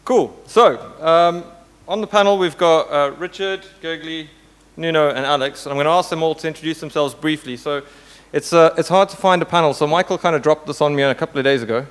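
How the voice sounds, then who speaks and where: A man's voice: on the high side, a little fast, fairly bright tone, clear, resonant tone. A man moderating a conference panel in a casual, joking, informal way. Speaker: panel moderator, tech company CTO. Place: indoor conference hall. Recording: hall reverb from conference room sound system.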